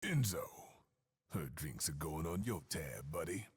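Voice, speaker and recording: An actor playing a cool, sardonic American bartender and weapon maker, slangy and knowing, with a very deep voice in a video game. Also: male voice, expressive, unhurried, husky, heavily acted. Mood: dry, annoyed. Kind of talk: video game cutscene acting.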